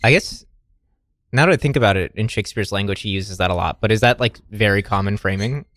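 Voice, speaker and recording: A man chatting casually as a podcast host, halting and self-deprecating. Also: lowish voice, a bit bright, no echo, studio quality. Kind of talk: casual chat.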